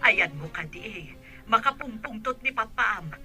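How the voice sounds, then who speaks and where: Woman acting a sharp, nagging household helper. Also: mid-range voice, lively intonation, moderate pace, soft background music. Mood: worried. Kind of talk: radio comedy drama acting.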